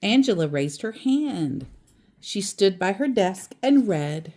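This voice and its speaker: Female children's storyteller: animated, melodic read-aloud at a leisurely pace, with casual asides. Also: mid-range voice, takes it slowly.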